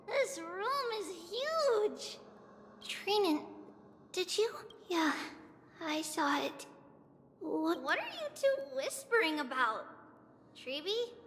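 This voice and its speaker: A woman voicing a small, excitable, childlike girl in a video game, exclamatory and referring to herself by name. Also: very high-pitched, long reverb tail, slight background noise, exaggerated voice. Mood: excited, eager. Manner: refers to self in third person, exclamatory. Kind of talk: video game character acting.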